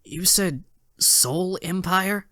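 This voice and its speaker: A man's voice: high-pitched, extremely slow, sharp tone, no echo, clean studio sound, voice pushed up, exaggerated voice. A man voicing a young hedgehog hero, earnest and challenging, in a dramatic audio story with urgent questioning. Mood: wary, questioning. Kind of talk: dramatic audio fan-fiction character acting.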